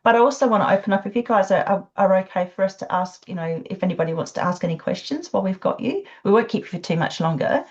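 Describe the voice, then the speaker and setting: A woman's voice: medium pitch, expressive, fast talker, hardly any pauses. A woman hosting a live online session, chatty and effusive with plenty of fillers, over a video call. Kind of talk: casual chat. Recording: dull lo-fi call audio.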